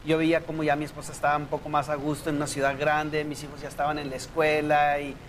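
A man from South Texas telling his story in a casual interview, pausing often and searching for words. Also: on the high side, completely flat delivery. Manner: hesitant, searching for words, many fillers.

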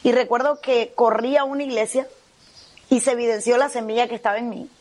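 A woman's voice: on the high side, fairly even intonation, a little fast, a little mellow. A middle-aged woman pastor from Cuba, with a Cuban Spanish accent, telling her testimony with fervent earnestness in a TV interview.

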